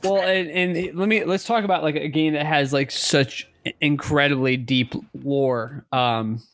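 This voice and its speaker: A man with a voice a little higher than average talks as a podcast co-host. His delivery is casual and conversational, rambling along with emphatic restatements as he makes his points. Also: steady tone, measured pace, a bit bright. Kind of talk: casual conversational video game chat.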